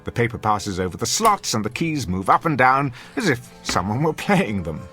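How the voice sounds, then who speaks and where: A man's voice: mid-range voice, sing-song, moderate pace, pushed and bright, faint music behind. A man playing a domineering, sarcastic wealthy husband in a radio drama, speaking with haughty, formal diction. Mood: smug, mocking. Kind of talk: classic radio drama acting.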